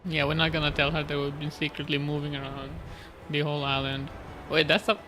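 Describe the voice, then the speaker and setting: A woman with a creaky voice giving casual live reaction commentary as she plays a video game. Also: low voice, level tone, brisk, a bit bright, faint music behind. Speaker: gaming streamer. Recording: faint background noise and game audio.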